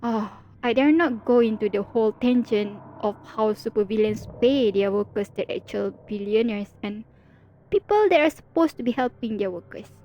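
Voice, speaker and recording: A woman's voice: fairly high pitch, moderate pace, dull audio, a little hiss or hum, lightly acted. A woman playing a theatrical, playful supervillain in an ASMR roleplay, with a sarcastic, drawling, mock-dramatic delivery. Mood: wry, exasperated. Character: teasing, theatrical female supervillain, playful and world-weary.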